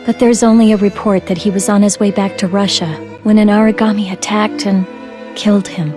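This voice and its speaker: A woman voicing a caring, older-sister female soldier in an English game dub, with a reassuring, steady manner. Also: mid-range voice, a little fast, over music, lightly acted. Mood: concerned.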